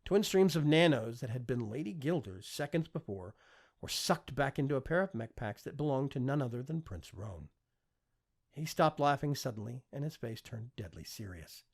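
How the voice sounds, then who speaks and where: A man's voice: mid-range voice, lively intonation, moderate pace, a little mellow. A man narrating fiction as an audiobook reader, in a dramatic, emphatic scripted style.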